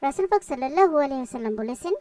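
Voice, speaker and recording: A woman's voice: high-pitched, lively intonation, rapid-fire, dark tone, dry recording, studio quality, recorded from a distance. A woman voicing a kind, righteous young madam in a cartoon, earnest and preachy. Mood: reproachful. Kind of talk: cartoon voice acting.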